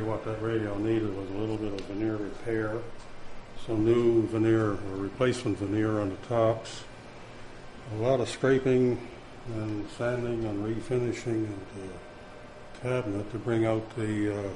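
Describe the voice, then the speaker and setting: Relaxed man with a low voice. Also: slight background noise, poor audio. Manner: relaxed, hesitant with frequent fillers. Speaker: radio restorer. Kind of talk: casual spoken account.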